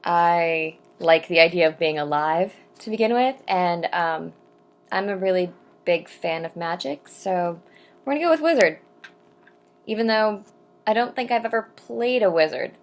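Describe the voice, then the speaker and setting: A young adult woman chatting to camera in a casual vlog, rambling with frequent ums and asides, and reading poetry slowly and deliberately. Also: on the low side. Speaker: vlogger answering viewer questions.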